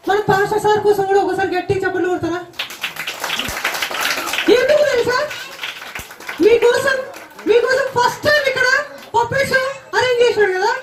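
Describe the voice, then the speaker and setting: A puppeteer voicing a childlike puppet, exhorting children and asking them questions at a Christmas puppet show. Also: very high voice. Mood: rousing. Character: squeaky childlike puppet preaching cheerfully to children. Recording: echoey hall, crowd and noise behind.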